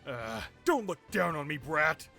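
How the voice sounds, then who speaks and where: Man as an angry, sharp-voiced street thug. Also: fairly high pitch, very animated, measured pace, gravelly, soft background music, full character voice. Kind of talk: anime fan dub acting.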